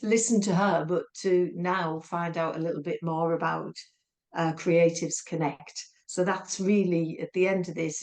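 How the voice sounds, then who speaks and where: A woman with a Northeast English accent speaks in a low, very even voice with little rise and fall. Her manner is relaxed and plain-spoken over a video call. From northeast England. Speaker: business coach. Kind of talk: casual self-introduction.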